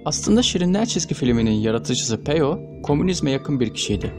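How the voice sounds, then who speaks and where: A man reads scripted narration in speech, in a measured, formal way. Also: on the high side, big pitch swings. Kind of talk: scripted narration of trivia video. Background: background music.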